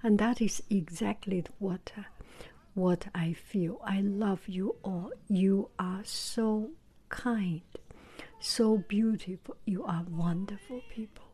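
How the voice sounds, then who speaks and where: A woman whispers softly to her viewers as the host of her own channel. Her voice is airy and breathy, and she takes her time over each phrase. She pauses often and hesitates as she talks. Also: medium pitch. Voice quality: soft whisper. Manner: gentle, hesitant, many pauses and fillers. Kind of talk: whispered vlog talk to viewers.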